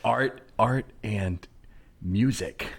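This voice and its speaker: A furtive male scholar of a strange future, acted by a man, talks low and husky. He keeps his voice hushed and marvels as he goes. It is an improvised comedy sketch. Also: very slow, full character voice. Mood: secretive.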